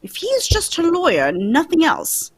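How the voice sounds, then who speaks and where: Woman playing a high-voiced, confident male detective. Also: huge pitch swings, normal speed, full character voice. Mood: urgent. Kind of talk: old-time radio drama script read live.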